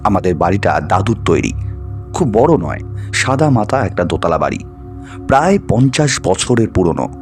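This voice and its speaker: Male narrator for ghost stories, deep-leaning voice, very lively intonation, music underneath. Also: moderate pace. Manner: expressive, acts out the dialogue of characters. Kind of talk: dramatized scripted narration of a ghost story.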